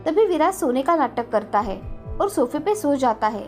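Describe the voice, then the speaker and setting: A woman's voice: sits high, sing-song, normal speed. A woman storyteller giving scripted narration of a children's fairy tale. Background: faint background music.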